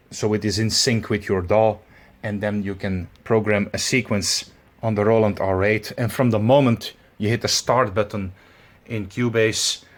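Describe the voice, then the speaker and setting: A man with a Belgian accent walks through a hardware setup step by step. He speaks slowly and pauses often, filling the gaps with "uh" and "um" while he hunts for the right word. His voice sits on the low side. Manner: halting, many fillers, searching for words. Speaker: tutorial presenter. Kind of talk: instructional demonstration.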